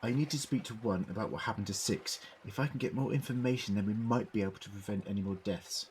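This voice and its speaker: A man's voice: on the low side, quick pace, darkish tone, a little hiss or hum, lightly acted. A man acting in an audio drama as an eccentric, inquisitive Doctor who muses precisely as he investigates. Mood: urgent, concerned. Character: male time-travelling Doctor, curious, eccentric, quick-thinking investigator.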